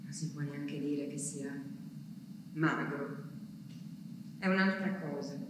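A woman performs a grave dramatic stage monologue from a war diary, delivering it haltingly in short phrases. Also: sits low. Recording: echoey hall from far off, noisy.

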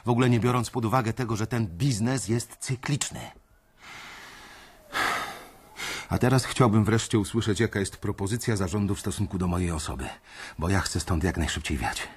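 Young bank analyst telling his own story, low husky voice, tense and careful. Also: fairly quick. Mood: defiant. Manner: restrained first-person narration. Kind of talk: radio drama narration.

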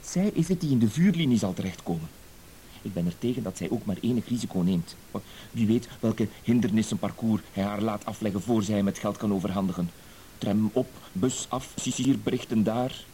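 An actor playing a formal, composed, aggrieved husband in a radio drama. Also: male voice, lowish voice, fairly quick, dark tone, natural voice. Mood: reproachful, resigned. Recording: hissy old radio play recording.

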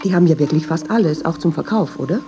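An actress playing a brooding, persistent woman in a radio drama, speaking in an introspective, deliberate way. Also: low voice, music in the background. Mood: earnest, conversational. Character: brooding, persistent, troubled woman who argues with herself. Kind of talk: radio drama monologue and dialogue. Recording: dull, hissy radio drama recording.

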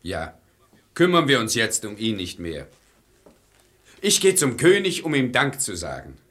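A man's voice: medium pitch, huge pitch swings, a little slow, a bit bright. An actor playing a hot-tempered, blustering father who has been duped, in a radio play in verse. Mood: relieved. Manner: blustering, exclamatory.

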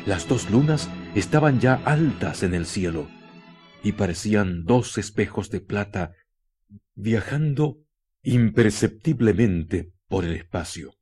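A man delivers scripted dramatic narration for a radio play. His voice is soft-edged and dark, fairly deep, with suspenseful phrasing and deliberate pauses. Music plays behind him. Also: lively intonation.

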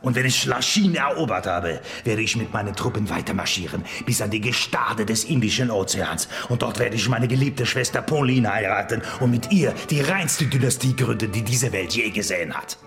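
An actor in a radio play playing a boastful, defiant emperor with grandiose, declamatory delivery. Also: male voice, lowish voice, animated, moderate pace, hardly any pauses, fairly bright tone, faint music behind. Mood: boastful, obsessive.

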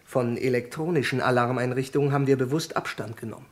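An actor playing a courteous, confident intelligence security expert in a German radio crime drama, explaining things formally and matter-of-factly. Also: male voice, medium pitch, fast talker, lightly acted.

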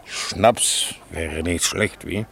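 A man's voice: low-pitched, extremely slow, vocal fry, background noise, full character voice. An actor playing an idle village man fond of a drink in a German radio play, drawling and chatty. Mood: idle, coaxing.

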